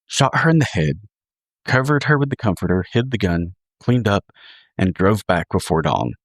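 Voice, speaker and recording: A man reading scripted true crime narration in a measured, dramatic storytelling manner, as a podcast host. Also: lowish voice, no room sound, clean studio sound.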